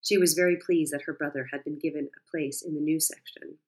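A woman calmly narrating a first-person story. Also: low voice, a little fast, low-quality recording.

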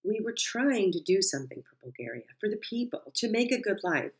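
A woman voicing an excitable middle-aged Bulgarian woman who gushes with admiration. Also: mid-range voice, fairly quick, voice pushed up. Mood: gushing. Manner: gushing, effusive.

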